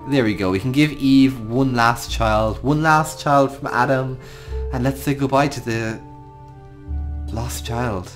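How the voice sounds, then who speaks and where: An Irish gaming host giving improvised storytelling commentary over a game, talking affectionately to the creatures. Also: mid-range voice. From Ireland.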